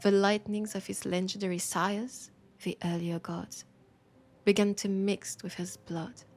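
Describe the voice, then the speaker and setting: A woman with a French accent playing a romantic, teasing bookseller in a soft, breathy, intimate roleplay audio. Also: medium pitch, level tone. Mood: poetic, rapt. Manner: slow, breathy, whispery, tender and playful. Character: romantic, teasing female bookseller fond of a vampire customer. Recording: music behind the voice. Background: music.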